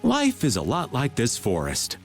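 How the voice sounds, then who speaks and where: A man's voice: mid-range voice, huge pitch swings, a little slow. A male actor voicing a carefree, philosophizing middle-aged man in a video game, with a theatrical drawl. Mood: laid-back, musing. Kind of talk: English video game voice acting.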